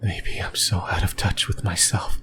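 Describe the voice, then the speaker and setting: A man voicing a lonely, possessive demon in a breathy, brooding whisper for an ASMR roleplay. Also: sits low, level tone, lightly acted. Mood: anguished, fearful. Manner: slow, halting, brooding delivery. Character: lonely, possessive sleep paralysis demon, lost and yearning.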